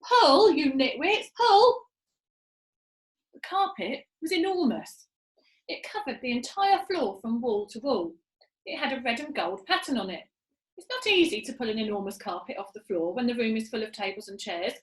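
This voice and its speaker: High, animated woman reading a story. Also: moderate pace. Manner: expressive, dramatic emphasis. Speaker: storybook reader, teacher. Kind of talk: animated read-aloud narration of a children's story. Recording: echoey room.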